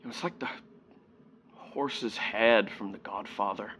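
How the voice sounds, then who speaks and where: A man performing a dramatic monologue as a grown son, wistful and halting as he recalls his childhood. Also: on the low side, fairly bright tone, a little hiss or hum. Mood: quiet, sorrowful. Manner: halting, subdued, reflective.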